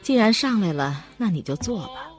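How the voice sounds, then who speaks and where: An actress playing a lonely, mysterious landlady in a horror radio drama, speaking in a measured way. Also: medium pitch, lively intonation, lightly acted. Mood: eerie, knowing. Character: eerie, lonely landlady of an old mansion. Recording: music behind the voice.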